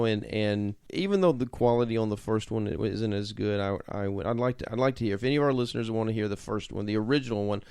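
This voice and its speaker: Smooth, lowish-voiced male podcast host. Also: darkish tone, no room sound, studio quality. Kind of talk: casual chat.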